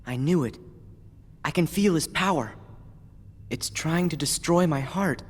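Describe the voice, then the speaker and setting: An actor playing an earnest, warm-hearted teenage boy hero in a video game, speaking with emphatic feeling. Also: on the high side, measured pace, breathy edge. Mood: tense. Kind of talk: dramatic video game voice acting. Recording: long reverb, faint music behind.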